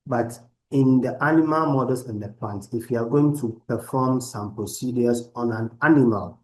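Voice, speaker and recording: A man lecturing online from his laptop, explaining research methods in a halting way with many restarts. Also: mid-range voice, dark tone, rough recording. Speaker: university lecturer. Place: at a laptop on a video call. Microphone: laptop microphone.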